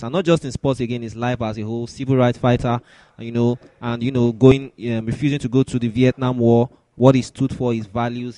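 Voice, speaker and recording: Man, sports show host, medium pitch, dry recording, halting repeated phrases. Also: dark tone, no room sound. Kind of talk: sports discussion, introducing segments.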